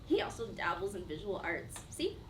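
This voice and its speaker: A woman playing a giddy, gushing 23-year-old in a staged play reading, playful and quick to defend herself. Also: on the low side, sing-song, fairly bright tone. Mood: excited, playful. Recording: distant mic, audible room noise.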